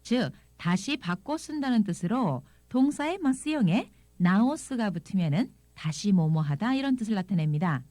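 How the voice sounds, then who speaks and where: A woman presenting a scripted audio language lesson, explaining things in an expressive reading style. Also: medium pitch, moderate pace, few pauses, no echo. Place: dry studio.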